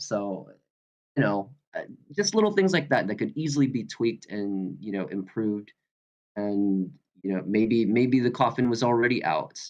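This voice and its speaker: A man giving casual, halting critique as a reader, thinking aloud informally over an online call. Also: fairly low pitch, creaky, a little mellow, lo-fi sound. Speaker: reader giving script feedback. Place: online video meeting.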